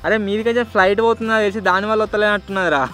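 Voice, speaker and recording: Young telangana guy vlogging, talks super fast n slangy, kinda high voice, bit of music under it. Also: steady tone, sharp tone. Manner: informal, slangy. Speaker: video host. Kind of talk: casual vlog presenting.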